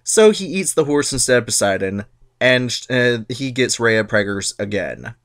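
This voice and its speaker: A man's voice: mid-range voice, animated, moderate pace, fairly bright tone. A sarcastic male storyteller with a mid-range voice that swings up and down with animation. He reads myths as a comic, irreverent monologue, punching his jokes hard. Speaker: narrator. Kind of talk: comic dramatic reading.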